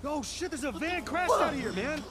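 Blazed bystander from a game, really high pitched, dazed slangy drawl, crowd around. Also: male voice, animated, moderate pace. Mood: startled. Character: amazed, stoned male bystander on the street. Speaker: game character. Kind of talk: video game cutscene acting. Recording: game street scene with crowd noise.

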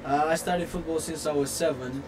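A young man with a Romanian accent gives interview answers in an even tone. He feels his way through sentences, repeating words, with clear outdoor vehicle noise behind him. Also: moderate pace. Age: 20s. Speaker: assistant football coach being interviewed. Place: outdoors in front of bushes. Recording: noisy outdoor recording with vehicle rumble.

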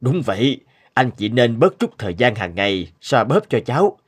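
A man's voice: mid-range voice, big pitch swings, moderate pace, breathy edge. A man voicing a serious, instructive middle-aged doctor in a cartoon dub. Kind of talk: cartoon dubbing.

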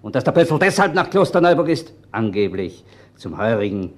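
An actor playing a sarcastic, pushy police inspector with an Austrian accent, needling and confrontational, in a radio crime play. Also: male voice, mid-range voice, big pitch swings, normal speed, natural voice.

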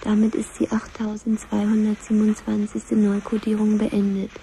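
A woman playing a calm control computer in a radio play, making formal, monotone announcements. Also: medium pitch, moderate pace, vocal fry, natural voice. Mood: impassive, formal. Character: calm female control computer of nuclear command centre. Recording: faintly noisy 1970s radio recording.

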